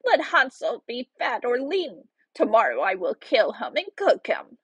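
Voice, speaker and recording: A woman's voice: on the high side, sing-song, measured pace, breathy edge, dry recording, exaggerated voice. A woman voicing a wicked old witch in a storybook, cruel and mocking, scolding and giving orders. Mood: impatient, scolding. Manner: scolding, commanding.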